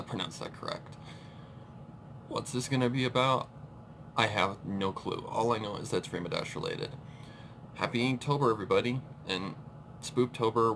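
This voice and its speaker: A man's voice: on the low side, normal speed. A man giving casual live reaction commentary as an online host, with informal muttered asides. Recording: clear background noise on the mic.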